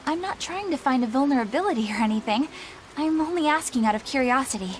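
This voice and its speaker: Uma atriz interpretando uma jovem exorcista sincera, educada e formal. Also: female voice, high voice, animated, fast, a little hiss or hum. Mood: earnest. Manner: polite, formal, earnest. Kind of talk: game dub acting.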